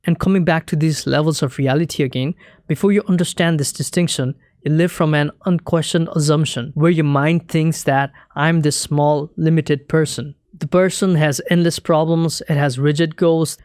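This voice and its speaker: A young man from Nepal whose first language is Nepali, giving a calm, earnest, scripted talk as a spiritual teacher. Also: on the high side, no room sound, studio quality. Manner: measured, earnest, explanatory.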